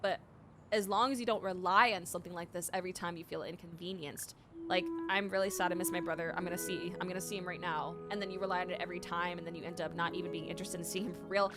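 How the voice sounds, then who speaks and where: A woman's voice: on the low side, fast talker. Casual woman streamer reacting to a game. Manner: informal, expressive, thinking aloud. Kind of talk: casual live reaction commentary. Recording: game music clearly behind voice. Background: video game music and dialogue.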